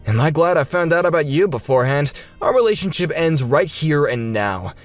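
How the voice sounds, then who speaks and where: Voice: mid-range voice, expressive, brisk, faint music behind, natural voice. A narrator voicing a stern, indignant father, firm and reproachful, in a manga dub. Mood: cold. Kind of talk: manga dub character acting.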